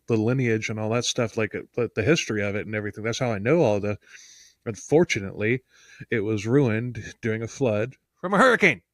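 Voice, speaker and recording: A man's voice: lowish voice, dry recording, clean studio sound. A man from the United States co-hosting a podcast, talking casually in a rambling, emphatic way that often restarts sentences. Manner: rambling, self-interrupting, emphatic. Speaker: podcast co-host. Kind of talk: casual podcast chat and true-crime storytelling.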